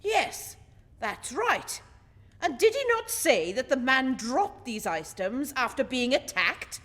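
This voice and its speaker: A woman acts an earnest gentleman sidekick in a radio play. The voice is fairly high and very animated, exclamatory and curious, ringing with reverb. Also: takes it slowly, heavily acted. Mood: astonished. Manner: exclamatory, inquisitive, old-fashioned gentlemanly. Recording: echoing hall-like reverb.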